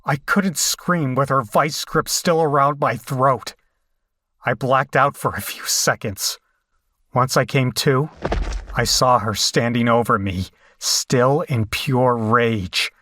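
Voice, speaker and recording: Man as a slow, grave horror survivor. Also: medium pitch, pushed and bright. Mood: horrified. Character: shaken horror survivor recounting a bloody escape. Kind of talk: first-person horror audio drama narration.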